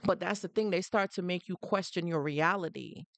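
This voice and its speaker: A woman's voice: fairly low pitch, normal speed, gravelly, no room sound. A woman with a Jamaican accent hosting a casual livestream and speaking directly to her viewers. She is emphatic, repeats her points, and breaks off to greet people who join. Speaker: livestream host.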